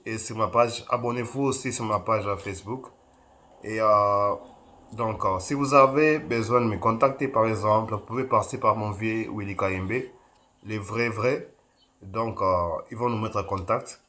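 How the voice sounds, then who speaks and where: A young man from Kinshasa talks casually to the camera about his songs, his voice sitting fairly low. He is friendly and pauses on small fillers as he goes. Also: bright, forceful tone. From Congo, Kinshasa. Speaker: singer promoting his music. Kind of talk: casual self-introduction to camera.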